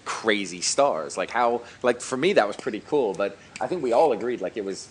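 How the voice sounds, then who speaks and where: A man's voice: lowish voice, expressive, normal speed, husky. A man co-hosting a gaming podcast, talking casually in an informal, slangy way. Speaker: podcast co-host, gaming YouTuber. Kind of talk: casual chat.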